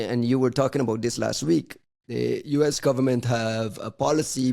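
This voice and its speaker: A man's voice: medium pitch, gravelly, a little mellow. A man hosting a podcast, steering the talk in a wry, teasing way. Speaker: podcast host. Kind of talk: casual chat.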